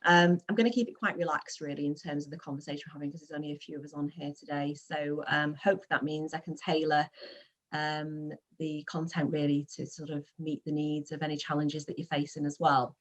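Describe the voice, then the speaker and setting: A woman in her forties hosting a relaxed webinar on a video call, chatty and full of fillers. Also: sits low, a little fast. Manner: friendly, chatty, many fillers and restarts. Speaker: webinar presenter and recruitment coach. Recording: dull lo-fi video call audio.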